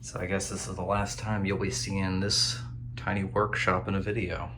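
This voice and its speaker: A man's voice: low-pitched, level tone, normal speed. A man narrating a casual hands-on restoration vlog as he works in a small workshop. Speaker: video host and radio restorer. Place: tiny home workshop. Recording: clear background noise.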